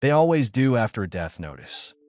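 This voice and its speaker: A man's voice: mid-range voice, very animated, fairly quick, close to an everyday voice. A man voicing a guarded, weary, stubborn mother in an audiobook, with a curt way of talking. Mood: guarded, tense. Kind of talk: audiobook character dialogue.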